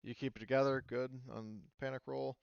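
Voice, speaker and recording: A man runs a tabletop roleplaying game in a casual, explanatory tone. His voice is clean and smooth at a middling pitch. The recording is dry, with a slightly muffled top end. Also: flat delivery, brisk, no room sound. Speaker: game master.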